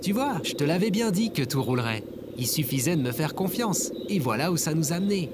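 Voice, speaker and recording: An excitable, optimistic male adventurer, played by a man in a comic audio drama. His pitch leaps about with enthusiasm and exclamation, over clearly audible background noise. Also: fairly quick. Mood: enthusiastic. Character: upbeat, excitable male last survivor on Earth. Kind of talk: scripted comedic audio fiction acting.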